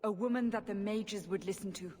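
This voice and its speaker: In a long, echoing hall, a stern warrior of the faith questions with grave formality , played by a woman. She is earnest and insistent, a fantasy game interrogator with a measured dramatic delivery. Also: mid-range voice, a little mellow, lightly acted. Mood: urgent, pleading.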